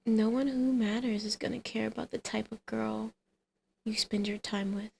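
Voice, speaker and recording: A flirtatious villainess acted out by a woman in an ASMR roleplay, speaking in a highish, creaky voice. She is intimate and teasing, often slipping toward a whisper. A faint noise sits behind her. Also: fairly even intonation, moderate pace, a little mellow. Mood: seductive persuasion.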